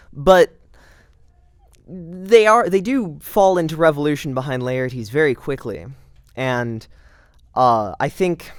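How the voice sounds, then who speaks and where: A man's voice: fairly high pitch, dry recording, clean studio sound. A young man talking analytically in an interview, reasoning aloud with some hesitation. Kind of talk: analytical interview talk about a play.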